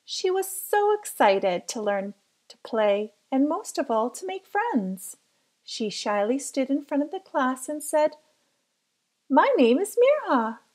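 A woman reading a children's picture book aloud as careful, expressive storytelling. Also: sits high, a little slow. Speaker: storybook reader.

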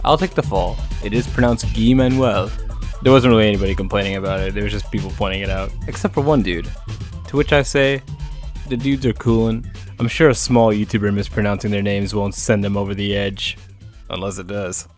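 A man's voice: fairly low pitch, fairly even intonation, brisk. A man giving a casual, playful and enthusiastic scripted voice-over about music. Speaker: music video essayist.

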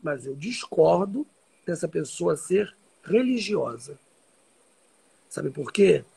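A Brazilian man talks to his live-stream followers in a high voice for a man, heavily creaky and raspy. He is informal and emphatic, repeating key phrases as he teaches. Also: very animated, slow, warm tone. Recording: livestream audio reposted from social media.

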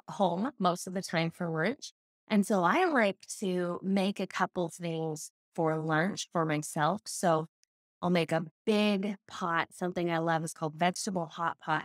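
Chatty woman podcaster, low-pitched and dry. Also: dry recording. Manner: chatty, expressive, gesturing. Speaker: podcast host. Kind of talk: casual solo podcast advice. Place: home office room.

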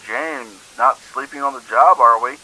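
A man's voice: medium pitch, normal speed, pushed and bright, rough recording. A man voicing a smug, condescending male night-shift manager who mocks and needles in a horror story. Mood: smug suspicion. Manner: mocking, condescending, increasingly aggravated.

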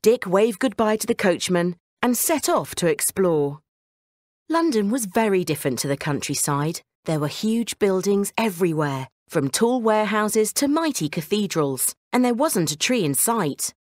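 A woman narrating a scripted children's bedtime story in an expressive storytelling manner. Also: mid-range voice, moderate pace, no echo, clean studio sound.

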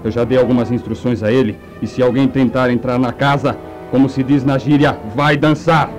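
A man's voice: mid-range voice, little pitch movement, fairly quick, faint music behind, natural voice. A man playing a haughty, commanding mad doctor in a comic radio play. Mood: touchy, smug. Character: imperious mad scientist doctor, proud of his creature.

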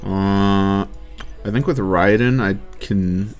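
Man, low voice, casual gaming commentary, muttered asides, free swearing, background music. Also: drawn-out words, a little mellow. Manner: swears freely, muttering to himself. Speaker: let's play streamer. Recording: game music behind the voice. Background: video game music and sounds.

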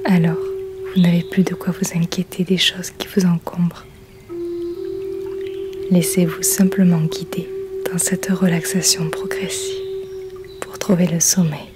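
Female relaxation guide, soothing ASMR whisper, very unhurried, for guided sleep hypnosis over clearly heard flute music. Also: fairly low pitch, expressive. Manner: whispered, breathy, soothing delivery.

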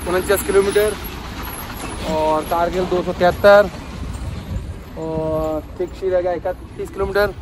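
A man's voice: sits high, fairly even intonation, measured pace, pauses often, a little hiss or hum. A man vlogging from the roadside in a cold mountain town, chatting to viewers and reading out road distances, slightly unwell from the altitude. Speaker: travel vlogger. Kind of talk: casual travel vlog chat. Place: outdoors by a roadside sign in cold mountain sunlight. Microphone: phone camera at arm's length.

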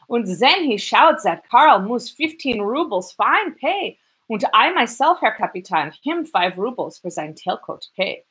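A woman's voice: medium pitch, fairly bright tone, pitched above the performer's own voice. A woman doing a German landlady, fawning. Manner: broken English, rapid and indignant. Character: fawning middle-aged landlady, excitable.

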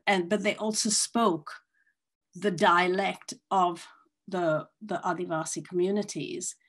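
A woman from India speaking as a panelist on a video call, hesitant, with many restarts. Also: mid-range voice.

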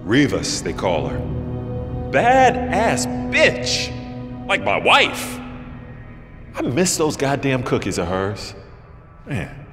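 Hyped up suck-up convict, crude n rambly, pitch all over the place, big hall reverb, game cutscene. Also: male voice, wildly swooping pitch, takes it slowly, pauses often. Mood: uneasy, gossipy. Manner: rambling, eager, swooping between loud bursts and mutters. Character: excitable, foul-mouthed, fawning male prison inmate. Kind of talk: cinematic video game acting. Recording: echoey hall with loud music behind.

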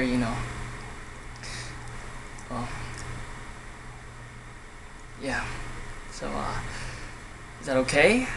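A man acting a hurt younger brother in an emotional dramatic monologue, speaking in a halting, emotionally raw way. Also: medium pitch, background noise, natural voice. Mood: hopeful, nervous. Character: hurt younger brother pleading with self-centered older brother.

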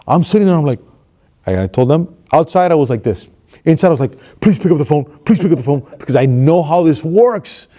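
A man in his thirties from Miami tells a motivational story, swinging between hushed and loud as he acts it out. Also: a little high, lively intonation, a little fast. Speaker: motivational speaker. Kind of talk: storytelling monologue. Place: stage with red curtain, seated.

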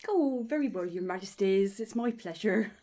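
A woman's voice: medium pitch, expressive, normal speed, warm tone, lightly acted. A woman playing a flustered, fawning elf baker in a fantasy roleplay, gushing and deferential. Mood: eager, gushing. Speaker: voice actor. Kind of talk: ASMR fantasy audio roleplay.

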